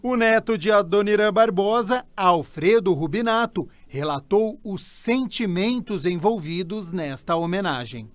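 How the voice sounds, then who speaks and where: A man with a Brazilian accent reading scripted news narration in an even, formal way. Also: high voice, unhurried, few pauses, no room sound. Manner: even, formal newsreader delivery. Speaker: news reporter.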